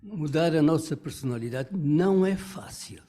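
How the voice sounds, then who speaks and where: A man's voice: fairly high pitch, lively intonation, moderate pace, warm tone. A man giving an earnest comment at a religious study meeting. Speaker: congregation member giving a comment.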